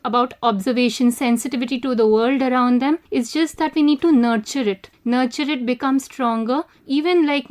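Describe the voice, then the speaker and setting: A woman talks as a guest on a discussion panel. Her voice is pitched high, and she speaks in an explanatory style. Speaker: panel guest, animal-care education manager.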